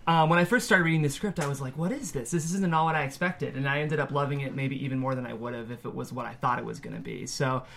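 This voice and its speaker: A man's voice: medium pitch, fast, creaky, few pauses, fairly bright tone. A man hosting a studio table-read show, presenting and reading out screenplay narration in an upbeat way.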